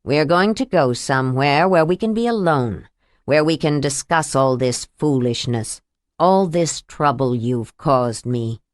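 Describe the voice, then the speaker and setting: A woman narrator voicing a cold, controlling abusive man who speaks in a soft, menacing, deliberate way. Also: a bass voice, lively intonation, no room sound, clean studio sound, pitched below the performer's own voice.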